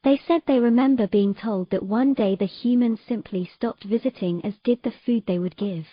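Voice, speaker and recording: A woman's voice: a little high, moderate pace, no echo, muffled recording, close to an everyday voice. A woman playing a troubled, earnest young mermaid in a romantic fantasy audio drama. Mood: wistful storytelling.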